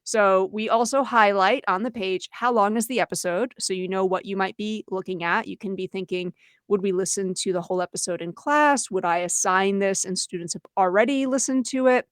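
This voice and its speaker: A woman's voice: mid-range voice, a little fast, dry recording. Woman presenting, close dry sound. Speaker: webinar co-host, educator. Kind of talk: conversational webinar presentation for teachers.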